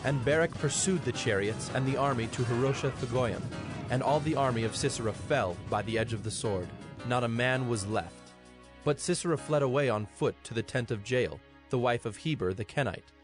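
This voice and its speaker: A man narrating scripture in a solemn, measured storytelling manner. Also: mid-range voice. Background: music.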